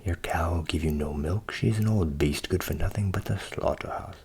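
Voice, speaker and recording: Man doing gravelly, blunt storybook butcher. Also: deep voice, brisk, a little mellow, pitched below the performer's own voice, heavily acted.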